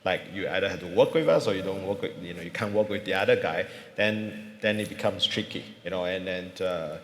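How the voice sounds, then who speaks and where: Man from Singapore, low voice, conference panelist, roomy hall sound. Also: a little hiss or hum. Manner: thoughtful, many fillers and restarts. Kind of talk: panel discussion.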